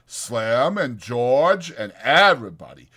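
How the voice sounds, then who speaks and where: A man voicing a big, simple, friendly farmhand with halting, childlike speech. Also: medium pitch, big pitch swings, bright, forceful tone, slightly rough, heavily acted. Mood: friendly, hopeful.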